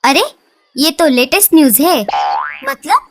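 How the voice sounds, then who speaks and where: A woman voicing cartoon birds, speaking in a slow, sing-song storybook way. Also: high voice, sing-song. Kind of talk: cartoon dialogue acting.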